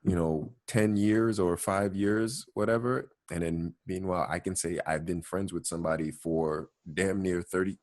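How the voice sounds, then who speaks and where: A man's voice: deep voice, fairly even intonation, normal speed, few pauses, darkish tone. A young man hosting a casual family talk, reflecting thoughtfully with many "you know"s. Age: young adult. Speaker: host.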